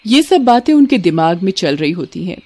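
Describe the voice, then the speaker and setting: A woman's voice: on the low side, sing-song, a little fast, warm tone. A woman narrator telling a scripted children's story.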